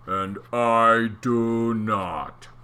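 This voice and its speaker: A man doing a loud, jeering bully eel. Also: medium pitch, extremely slow, smooth.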